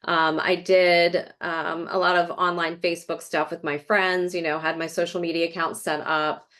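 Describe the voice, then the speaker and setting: Casting: middle-aged woman, lowish voice, chatty and rambling, interview guest. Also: flat delivery, moderate pace, a bit bright. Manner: chatty, fillers, rambling storytelling. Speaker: real estate agent, guest. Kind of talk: casual interview conversation. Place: Florida.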